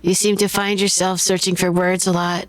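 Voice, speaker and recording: A woman's voice: lowish voice, little pitch movement, normal speed. A woman hosting a casual interview, asking curious questions and thoughtfully rephrasing her guest's ideas. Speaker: podcast host.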